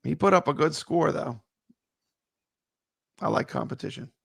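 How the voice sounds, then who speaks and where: A man co-hosting a casual live stream, chatting with viewers; his voice is still gravelly from being sick. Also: on the high side, measured pace, no echo, clean studio sound. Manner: relaxed, chatty, greets viewers. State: gravelly, voice not fully recovered.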